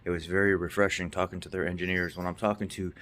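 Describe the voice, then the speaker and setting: A man's voice: sits low, flat delivery, fast, a bit bright, a little hiss or hum. A middle-aged man giving a casual, unscripted recap as a mechanic hosting a video, stressing his points by repeating them. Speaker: car maintenance video host, mechanic.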